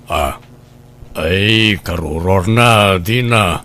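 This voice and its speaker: A man's voice: low voice, drawn-out words, pushed and bright, full character voice. A man with an Ilocano Filipino accent playing a hesitant, apologetic older brother in a comedy drama.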